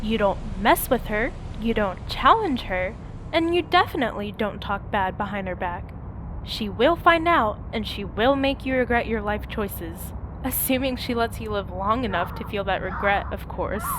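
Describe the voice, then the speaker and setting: A woman voicing a cynical pony survivor, narrating a post-apocalyptic radio play in a measured, dramatic first-person style. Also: medium pitch, lively intonation, background noise, close to an everyday voice. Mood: wry, matter-of-fact. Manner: measured, dramatic storytelling with rhetorical questions. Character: cynical female pony survivor raised in an underground bunker. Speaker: narrator and main character.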